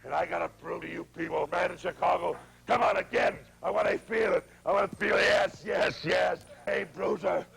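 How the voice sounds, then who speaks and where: A man, a pro wrestler, ranting a menacing promo in a gravelly rasp, repeating worked-up threats. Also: high voice, steady tone, normal speed, few pauses, fairly bright tone. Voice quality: gravelly, rasping. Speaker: professional wrestler.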